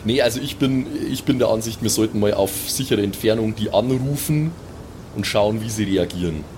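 A man's voice: lowish voice, fairly quick, darkish tone, soft background music. A man, improvising casually as a player in a tabletop role-playing game. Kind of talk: casual improvised in-character play and table talk.

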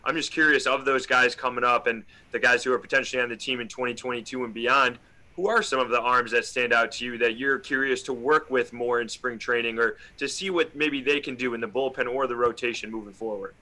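A man's voice: medium pitch, a little fast, bright, forceful tone, poor audio. A man co-hosting a podcast, asking questions in a casual sports interview style.

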